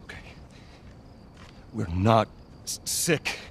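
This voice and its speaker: A man's voice: on the low side, animated, very slow, vocal fry, slight background noise, full character voice. An actor playing a desperate, protective middle-aged father in a cinematic video game. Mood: breathless, pleading. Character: desperate, protective father fleeing with his young daughter. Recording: loud dramatic music under the voice.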